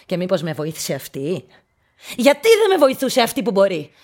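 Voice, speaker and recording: Childlike unstable widow in a radio drama, middle-aged, agitated n pleading, voice swings all over. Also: female voice, big pitch swings, darkish tone. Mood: aggrieved, agitated. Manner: agitated, pleading, swinging between shrill protest and conspiratorial whispers. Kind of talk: radio play acting.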